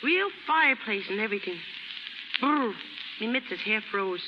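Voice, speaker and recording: A woman's voice: a little high, animated, moderate pace, close to an everyday voice. A woman acting a naive, streetwise, poor young American chorus girl in slangy, ungrammatical speech on a 1930s radio drama. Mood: tired, shy. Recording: muffled, very noisy, reverberant 1930s radio recording.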